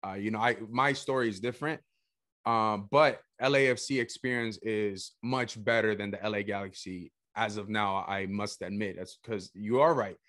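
A young man from El Salvador hosting a casual podcast chat, energetic and saying "bro" a lot. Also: on the low side, moderate pace, dry recording, studio quality. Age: young adult. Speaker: podcast host.

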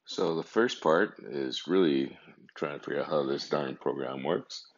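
Fifties male podcast host, gravelly, meandering and chatty. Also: very deep voice, measured pace. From Canada. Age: 50s. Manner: meandering, conversational. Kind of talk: casual podcast monologue. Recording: dull lo-fi recording.

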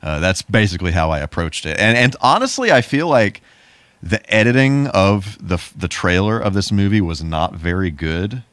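A man's voice: low-pitched, lively intonation, moderate pace, a bit bright, dry recording. A man chatting casually as a podcast host, in an informal, rambling way. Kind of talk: casual podcast chat about movies.

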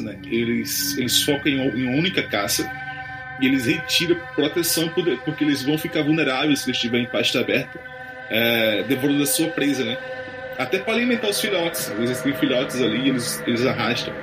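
A man's voice: medium pitch, expressive, fast talker, rough recording. Slangy Brazilian man chatting over music. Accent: Brazilian Portuguese. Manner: slangy, speculating aloud. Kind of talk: casual chat. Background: background music.

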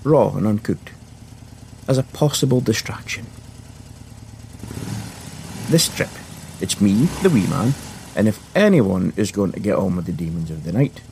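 Male storyteller, lowish gravelly voice, lively swoops, playful comic asides for a young audience. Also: a little slow, long pauses, slight background noise. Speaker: podcast host and storyteller. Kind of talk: animated first-person storytelling for children.

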